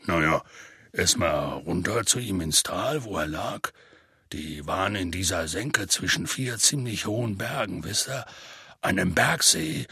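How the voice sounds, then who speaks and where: A man voicing a huge, gruff, good-natured male half-giant who tells a rambling, folksy story in a deep gravelly rumble. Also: expressive, unhurried, sharp tone, no echo, studio quality, exaggerated voice. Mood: telling tale. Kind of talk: audiobook character voice.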